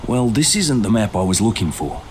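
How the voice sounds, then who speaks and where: A man's voice: lowish voice, very animated, brisk, a little mellow, a little hiss or hum. A man playing an impatient, brusque survivor hero in a video game cutscene.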